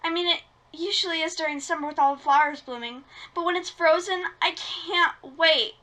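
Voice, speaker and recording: A woman's voice: very high-pitched, normal speed, pushed and bright. A woman playing a sweet, enthusiastic, adventurous teenage girl, perky and earnest, in an amateur script read. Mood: delighted.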